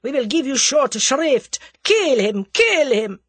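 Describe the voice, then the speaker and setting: A woman voicing a hot-tempered foreign male conspirator with a forceful, explosive manner. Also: high voice, big pitch swings, slow pace, dry recording, voice pushed up, exaggerated voice. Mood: furious.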